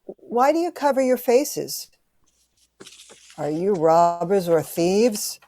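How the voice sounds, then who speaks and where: A woman's voice: mid-range voice, sing-song, slow, dark tone. A woman acting in a radio-style play as a grave, indignant young woman from 1920. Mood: suspicious.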